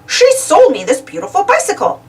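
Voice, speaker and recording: Woman as chatty shopkeeper, cheerful, emphatic, storybook character, high lilting pitch, slightly distant. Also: huge pitch swings, brisk, exaggerated voice. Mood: delighted.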